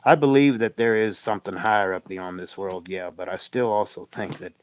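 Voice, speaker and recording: Man co-host, slightly low voice, dry close sound; blunt, emphatic, laughs through his points. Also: steady tone, brisk, no echo, low-quality recording. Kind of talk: casual livestream chat.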